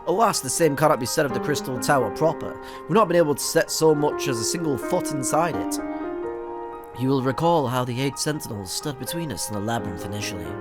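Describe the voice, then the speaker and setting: A man's voice: medium pitch, lively intonation, a little fast, over music, close to an everyday voice. A man with a stuffy nose reading the lines of a formal young male scholar from a game. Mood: earnest.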